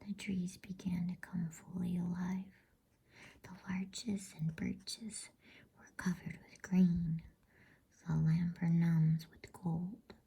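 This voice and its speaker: Lady doing asmr bedtime reading, super breathy whisper, low and crackly, real soothing. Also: flat delivery, warm tone. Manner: soothing, unhurried. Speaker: host and storybook reader.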